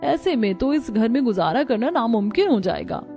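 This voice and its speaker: Woman voicing worried young bahu in a cartoon, complainy, mellow tone, music behind. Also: fairly high pitch, sing-song, moderate pace. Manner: complaining, earnest. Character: fretful, put-upon young Indian daughter-in-law. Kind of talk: cartoon story dialogue.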